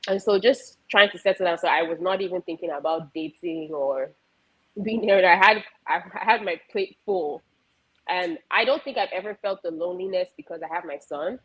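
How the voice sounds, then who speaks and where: A woman's voice: on the low side, normal speed, fairly bright tone, slightly rough, rough recording. A woman from Nigeria talking candidly as a guest on a panel discussion. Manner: open, candid storytelling.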